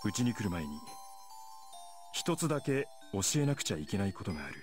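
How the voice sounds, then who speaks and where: An actor playing a gentle, easygoing middle-aged man who speaks softly and kindly to a child, in a Japanese visual novel. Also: on the low side, very animated, racing. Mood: lighthearted. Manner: soft, kind, lighthearted. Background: music.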